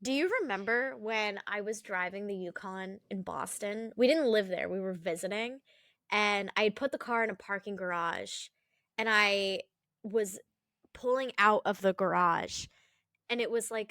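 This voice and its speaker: A woman's voice: medium pitch, a little slow, a bit bright, no room sound, clean studio sound. A young woman co-hosting a casual podcast chat, telling stories with frequent swearing and laughter. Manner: chatty storyteller, swears, laughs often. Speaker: podcast co-host.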